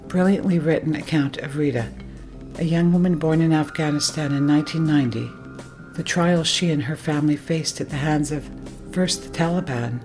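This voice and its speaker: Low-voiced woman hosting a story show. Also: darkish tone. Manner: measured, formal announcing. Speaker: radio show host. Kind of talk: scripted radio introduction.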